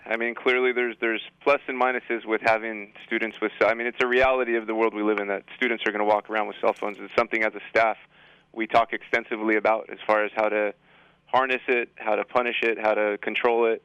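A man with a fairly low, clean voice answers as a school administrator on a radio program. His intonation stays very even and his explanations are measured and factual. The studio sound is dry. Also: creaky, clear, resonant tone, dry recording, dull audio. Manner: measured, matter-of-fact explaining. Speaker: school administrator, interview guest. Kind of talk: unscripted radio interview answers.

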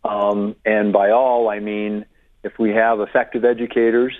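A man's voice: fairly low pitch, level tone, moderate pace, no echo. Man on a tinny phone line. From Connecticut. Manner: hesitant, many restarts and fillers. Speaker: guest author. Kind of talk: radio interview.

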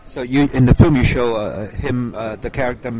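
A man hosting an informal interview, asking questions haltingly as he searches for words. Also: mid-range voice, dark tone, low-quality recording. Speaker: interviewer and show host.

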